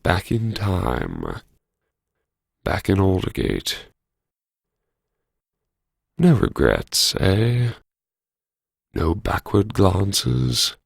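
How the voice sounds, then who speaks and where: A man performing an audiobook monologue as a wry, haunted university vice-chancellor, brooding and talking to himself in halting phrases. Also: deep voice, very animated, vocal fry, no echo, studio quality, heavily acted. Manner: brooding, wry, halting self-address with sighs. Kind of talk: dramatic first-person interior monologue.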